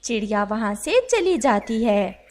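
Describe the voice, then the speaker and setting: A woman's voice: on the high side, very animated, measured pace, a little mellow, slight background noise. A woman narrating a moral animal story for children.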